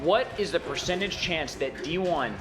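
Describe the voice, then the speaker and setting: A man's voice: a little high, animated, moderate pace, over loud music. A young man arguing his case in an insistent, self-assured, lecturing way. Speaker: visiting guest. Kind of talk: animated game argument.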